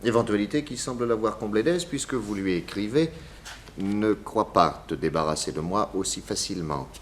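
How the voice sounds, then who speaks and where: An actor playing a methodical, probing detective who questions in a measured, pointed way, in 1960s radio drama style. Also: male voice, on the low side, slight background noise, lightly acted. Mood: stern.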